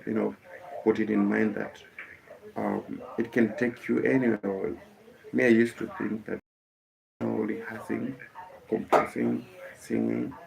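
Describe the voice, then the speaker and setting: A young Kenyan man, a musician whose first language is Kikuyu, telling the story of his life in a halting way, with many fillers and restarts. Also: lowish voice, gravelly, darkish tone, low-quality recording. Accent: Kenyan English.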